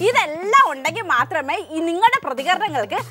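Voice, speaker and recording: A loud dim gossipy maid, super high voice, excitable, tv comedy w music under. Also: female voice, fairly quick. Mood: chatty, self-important. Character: ignorant, gossipy, loud housemaid Thanku. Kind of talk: comic gossip sketch acting on television.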